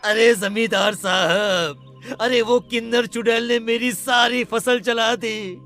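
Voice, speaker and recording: A man voicing a distraught village farmer in an animated story, lamenting in a drawn-out way. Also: very high-pitched, soft background music, heavily acted. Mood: wailing, despairing. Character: distraught male villager farmer, wailing over ruin.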